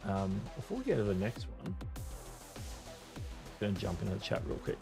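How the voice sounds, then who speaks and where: A man's voice: fairly low pitch, very animated, fairly quick, gravelly, over music. A man hosting a live creator news stream, talking loosely with lots of restarts and fillers, reading viewer chat aloud. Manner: rambling, many restarts and fillers. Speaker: host.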